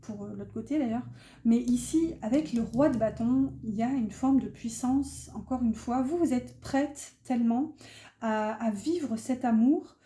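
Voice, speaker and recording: A woman with a very soft, dark-toned voice that sits a little high. She talks as a tarot reader guiding her viewers, improvising and feeling for her words, in a room with clear echo. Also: unhurried. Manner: hesitant, searching for words, chatty asides. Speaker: tarot reader hosting an online guidance. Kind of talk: casual, improvised tarot reading addressed to viewers. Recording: roomy, echoey sound.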